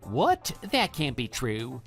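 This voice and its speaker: A man's voice: medium pitch, wildly swooping pitch, takes it slowly, a bit bright, exaggerated voice. A man voicing a cocky, immature love rival with a brash, pushy manner in a scripted online radio drama. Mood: agitated, baffled. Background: background music.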